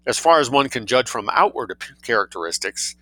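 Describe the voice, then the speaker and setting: Man playing a pompous English lord, bright-toned. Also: mid-range voice, lively intonation, brisk, no echo. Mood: pedantic. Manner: pedantic, formal. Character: pompous, unruffled English lord who keeps beasts.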